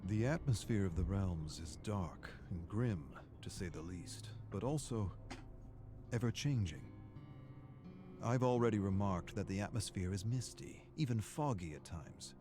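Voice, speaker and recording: An actor playing a weary, brooding imprisoned scholar in a video game, narrating a journal in a measured, formal, ruminative way. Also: male voice, on the low side. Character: imprisoned male scholar, weary, brooding observer of a dark realm. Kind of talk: dramatic scripted journal narration.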